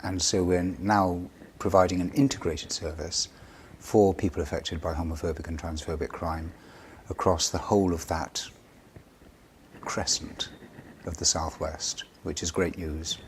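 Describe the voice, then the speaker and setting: A man's voice: sits low, fairly even intonation, a little slow. A man speaking for a charity in an interview, explaining things in an earnest way. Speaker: charity representative being interviewed. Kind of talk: interview answers. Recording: clear background noise.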